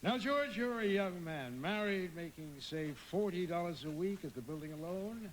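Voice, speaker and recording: Pompous, swooping high-voiced old tycoon. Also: male voice, expressive, moderate pace, slight background noise. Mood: smug, baiting. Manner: pompous, persuasive, domineering. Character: scheming, imperious older male banker and tycoon. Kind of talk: live radio drama acting.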